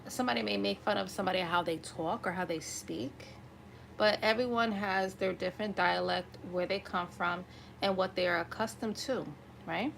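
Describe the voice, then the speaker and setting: A woman with a slightly raspy voice giving an improvised tarot reading to viewers, conversational and often checking in with listeners. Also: mid-range voice, normal speed, fairly bright tone, a little hiss or hum, poor audio. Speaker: tarot reader hosting an online collective reading.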